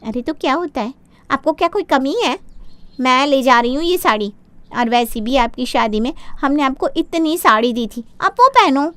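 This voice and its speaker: Woman as a high-voiced, spoiled young sister-in-law. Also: animated, moderate pace, fairly bright tone, dry recording. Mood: gushing, entitled. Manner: wheedling, gushing, entitled.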